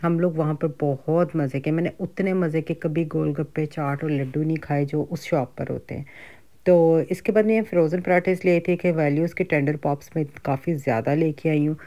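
A woman's voice: sits low, normal speed, mellow. A middle-aged woman with a Pakistani Urdu accent, chatting casually through a grocery and cooking vlog. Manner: chatty, conversational, frequent fillers and asides. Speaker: home cooking vlogger.

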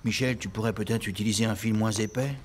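A man's voice: fairly low pitch, fairly even intonation, fast, very noisy, close to an everyday voice. A man playing a mild, encouraging, didactic craft teacher in a cartoon. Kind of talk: cartoon voice acting.

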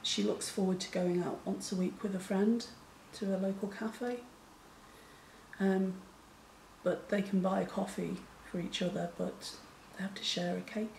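A woman in her forties speaking earnestly to camera in a small room, slow and hesitant with many fillers. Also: mid-range voice, level tone, gravelly, a little mellow. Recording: echoey room, faint noise.

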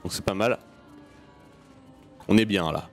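Low-voiced man gaming over music. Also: measured pace. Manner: informal, sweary, muttering to himself. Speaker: gaming video host. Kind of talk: casual let's play commentary. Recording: game music behind the voice. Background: video game music.

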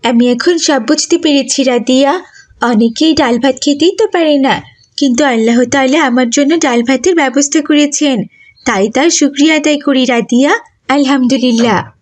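A woman voicing a grateful, devout young schoolgirl in a cartoon, sincere and gentle. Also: high voice, moderate pace, close to an everyday voice. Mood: sincere, thankful. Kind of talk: Islamic moral cartoon dialogue.

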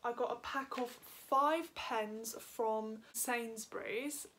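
A young woman with a fairly high voice talks brightly and casually to a camera set up in her bedroom, showing off her purchases with quick chatty asides. There is a faint hiss under the recording. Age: young adult. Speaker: lifestyle vlogger. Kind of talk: casual haul vlog talk to camera. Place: bedroom, indoors. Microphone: camera on a stand in front of her.

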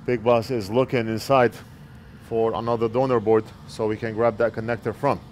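A man's voice: fairly low pitch, flat delivery, normal speed, a little mellow. Man in his 40s, repair tutorial, plain explanatory delivery, headset mic, faint traffic hum behind. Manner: plain, explanatory, talks while working. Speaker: repair technician. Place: electronics repair workbench indoors. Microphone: wired earpiece headset mic.

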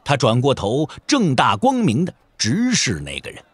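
A man's voice: medium pitch, huge pitch swings, normal speed, breathy edge, no room sound. A man narrating a martial-arts audio drama in a dramatic, theatrical way, stretching phrase endings for emphasis, close to the microphone. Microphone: close studio microphone.

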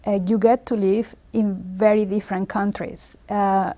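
A woman in her forties speaks English with a Spanish accent, her voice warm and mellow. She talks in a measured, earnest way, pausing often to find her words. She sits for an interview in an office. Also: medium pitch, little pitch movement. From Spain. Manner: measured, earnest, many filler pauses. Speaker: space agency engineer. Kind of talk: documentary interview. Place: office with large screen and window.

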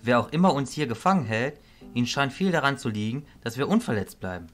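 A man's voice: medium pitch, expressive, fast, lightly acted. A man acting a captive mage in a fantasy audio drama, well-spoken, dry and somewhat curt. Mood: pondering.

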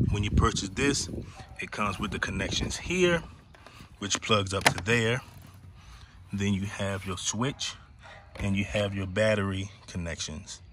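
A man with a fairly low voice talks casually through a hands-on project, step by step and without fuss. He records outdoors on a handheld camera, with faint ambient noise around his voice. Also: fairly bright tone. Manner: plain, step-by-step explaining. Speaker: boat-owner hobbyist giving a walkthrough. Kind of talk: casual how-to vlog narration. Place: outdoors in a grassy backyard.

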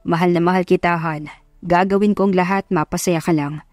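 A woman's voice: lowish voice, fairly even intonation, a little fast, darkish tone, no room sound, clean studio sound, soft background music, close to an everyday voice. A woman voicing a doting, teasing young husband in a romance audiobook, tender and mixing English phrases into Tagalog.

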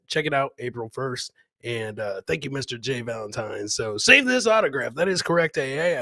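Bright, middle-aged man hosting a livestream. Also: on the high side, no room sound. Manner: hype announcer phrasing, addresses viewers directly. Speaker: host of a live clip-reaction show. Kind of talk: casual livestream chat and commentary. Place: indoor home streaming setup. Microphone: close boom microphone with headphones.

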